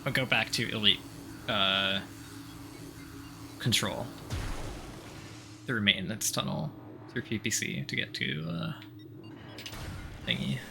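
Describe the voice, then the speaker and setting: A man's voice: on the low side, expressive, slow, vocal fry, pauses often, music in the background. A man giving casual gameplay commentary, thinking aloud in a hesitant, self-directed mutter with occasional mild swearing. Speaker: gamer doing a video game playthrough.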